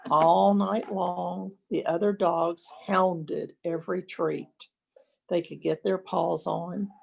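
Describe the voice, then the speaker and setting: An older woman, a grandmother, reading a children's picture book aloud expressively, with deliberate dramatic pauses. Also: on the low side, fairly even intonation, gravelly, darkish tone, breathy edge, low-quality recording.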